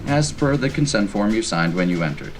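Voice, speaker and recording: Man acting as stiff, deep-voiced government official. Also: animated, brisk, very noisy, lightly acted. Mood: formal, stern. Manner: formal, bureaucratic, precise. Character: stiff, officious male CIA public affairs director. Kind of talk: formal scripted audio drama.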